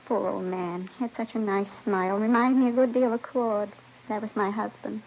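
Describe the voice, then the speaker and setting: An actress playing a matronly, middle-aged widowed neighbor in a 1950s radio drama, rambling in a folksy, chatty way. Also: female voice, mid-range voice, steady tone, moderate pace, lightly acted. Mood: wistful, pitying. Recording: dull old radio recording with hiss.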